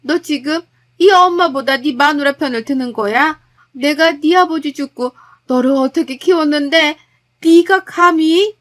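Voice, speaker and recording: A woman voicing a harsh, scornful older mother-in-law who scolds without pause. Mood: indignant. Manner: nagging, scornful, shrill.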